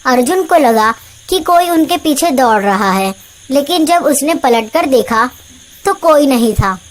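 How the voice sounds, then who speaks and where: High-pitched woman narrating horror tales. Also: animated, unhurried. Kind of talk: scripted horror story narration.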